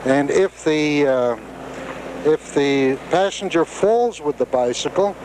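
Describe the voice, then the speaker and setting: Man walking through how his gadget works, kinda high voice, old tv tape hiss. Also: slow pace, a little mellow, rough recording. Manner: earnest, step-by-step explainer. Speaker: inventor being interviewed. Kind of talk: explaining a gadget. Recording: 1970s TV field recording, light hiss.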